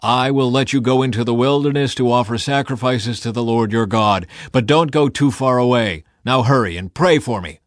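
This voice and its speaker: A man's voice: medium pitch, moderate pace, few pauses, dry recording, clean studio sound, natural voice. A man voicing a stubborn king of Egypt in a scripture audiobook. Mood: grudging, insistent. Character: stubborn Egyptian king, commanding yet pleading.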